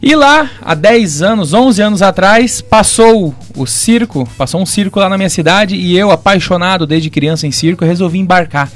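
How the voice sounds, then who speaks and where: Male radio guest, early thirties, southern Brazilian accent, notably high voice, chatty delivery. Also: lively intonation, moderate pace, hardly any pauses. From Rio Grande do Sul, southern Brazil. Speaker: guest, circus clown and show producer. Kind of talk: casual radio interview. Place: radio studio.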